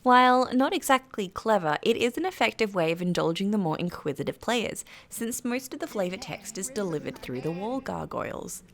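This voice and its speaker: A woman with a British accent reads a scripted video game review in an articulate, measured, critical manner. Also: medium pitch, fairly bright tone. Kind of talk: scripted narration. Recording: faint background noise.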